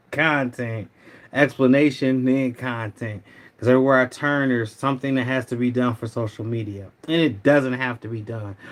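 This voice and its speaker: A middle-aged man, hosting a casual livestream in a rambling, laid-back way, his voice a little hoarse. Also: medium pitch. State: slightly hoarse. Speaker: livestream host.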